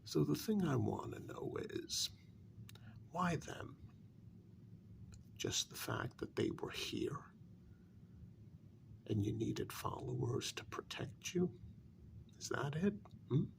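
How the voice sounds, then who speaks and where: A man playing a bitter, sarcastic kidnapper in a soft-spoken ASMR role-play, halting and half-whispered, with a gravelly voice. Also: a little high, sing-song, slight background noise, exaggerated voice. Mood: wounded, questioning. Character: bitter, sarcastic male kidnapper confronting a demon.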